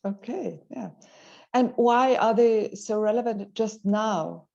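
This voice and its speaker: A woman interviewer asking measured questions, hesitating with fillers. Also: on the high side, vocal fry, mellow. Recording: dull lo-fi sound.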